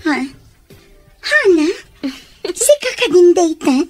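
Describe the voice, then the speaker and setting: Very high girlish voice. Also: very animated, slow pace, a little mellow, faint music behind, full character voice. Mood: wistful. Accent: Ilocano. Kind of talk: Ilocano radio drama acting.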